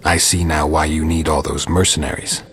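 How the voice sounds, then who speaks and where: Stoic monster hunter, deep male voice, very rough and husky, terse deadpan sarcasm, game dialogue, long reverb. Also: normal speed, heavily acted. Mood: sardonic, terse. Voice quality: gravelly, husky. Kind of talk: scripted video game dialogue.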